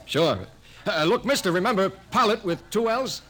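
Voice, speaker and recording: A man's voice: sits high, lively intonation, moderate pace, sharp tone, faint music behind, exaggerated voice. An actor in an old-time radio drama playing a skeptical, streetwise American man in the street, folksy and blustery. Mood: incredulous.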